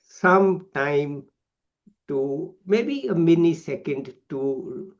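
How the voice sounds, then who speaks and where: A man's voice: fairly high pitch, lively intonation, slow pace. A man with an Indian accent interviewing someone in a casual, conversational style. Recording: slightly dull, lo-fi sound.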